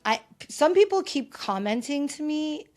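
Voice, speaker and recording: A woman's voice: sits high, big pitch swings, unhurried, a little mellow, soft background music. A woman hosting a solo podcast with a slight lisp, talking in a chatty, sarcastic way with rambling asides. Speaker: podcast host. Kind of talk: casual solo podcast chat.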